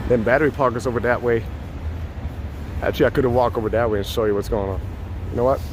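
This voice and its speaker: A man's voice: mid-range voice, steady tone, fast talker. A man with a Puerto Rican accent hosting a casual live walking tour outdoors, chatting with viewers on a phone mic as he goes. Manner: chatty, reads out viewer names. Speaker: live-stream host. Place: outdoors on city streets. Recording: loud street traffic noise.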